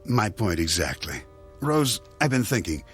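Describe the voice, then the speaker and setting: An actor playing a smooth, scheming husband who is glib, coaxing and sardonic, in a radio drama. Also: male voice, lowish voice, big pitch swings, unhurried, a bit bright, soft background music. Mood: teasing.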